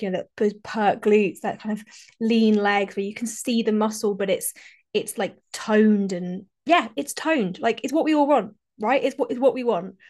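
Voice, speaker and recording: A woman's voice: mid-range voice, a little mellow. A woman in her thirties hosts her own podcast, sounding eager and conversational. A lingering cold leaves her voice a touch congested. She often repeats or restarts phrases as she talks. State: slightly congested, recovering from a cold. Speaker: podcast host and fitness coach. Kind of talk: solo podcast talk.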